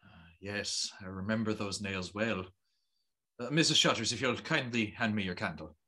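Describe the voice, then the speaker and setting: An actor playing a courteous gentleman master of a house in an audio drama, formal, kindly and authoritative. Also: fairly low pitch, moderate pace. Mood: kindly authority. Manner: polite, formal, commanding.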